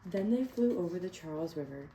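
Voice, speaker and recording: Woman teacher, picture-book read-aloud, encouraging, asks the class questions; mellow low tone. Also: lively intonation, brisk, a little hiss or hum. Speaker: teacher reading to children.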